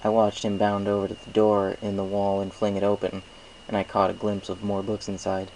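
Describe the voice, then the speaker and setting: A man's voice: on the low side, completely flat delivery, brisk, a little mellow, slight background noise, rough recording. A man reading a scripted first-person story aloud as an amateur narrator.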